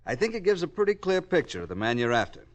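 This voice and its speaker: A man with an American accent playing a grave, assured psychology professor in a 1950s radio drama, measured and precise. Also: fairly high pitch, sing-song, lo-fi sound. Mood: grave, certain.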